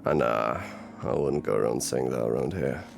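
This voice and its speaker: Casting: male actor for easygoing paranormal investigator, creaky and wry, teasing delivery, music underneath. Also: extremely low pitch, moderate pace, exaggerated voice. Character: wry, easygoing male supernatural detective, protective. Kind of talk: ASMR audio roleplay acting.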